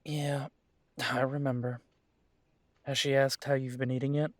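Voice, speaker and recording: A man playing an earnest young adult werewolf son in an audio drama, talking in a measured, steady way. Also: medium pitch, dry recording. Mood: friendly. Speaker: audio drama creator and lead voice.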